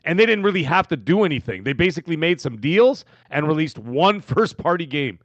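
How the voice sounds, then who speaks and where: A Canadian man co-hosting a casual gaming podcast, rambling and animated, with frequent restarts as he tells his story. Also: a little high, brisk, dry recording, dull audio. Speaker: podcast co-host.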